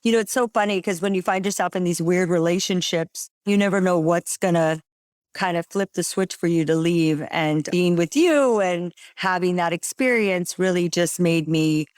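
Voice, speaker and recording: A woman's voice: on the low side, normal speed, a little mellow, clear, resonant tone, no room sound, clean studio sound. A woman in her forties hosting an interview podcast in a playful, flirty chat, laughing often. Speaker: podcast host.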